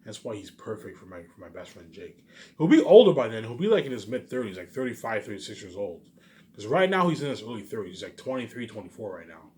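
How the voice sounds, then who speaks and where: A man's voice: lowish voice, big pitch swings, fast talker, a little mellow. A man talking casually in a rambling, repetitive monologue, musing aloud like a vlogger. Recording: noisy amateur recording.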